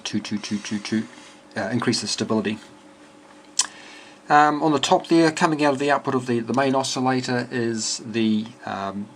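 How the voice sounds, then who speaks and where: Low-voiced man, halting technical explainer, noisy recording. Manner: hesitant, halting, thinking aloud. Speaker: amateur radio builder presenting a project. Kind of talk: informal technical walkthrough. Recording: clear steady background noise.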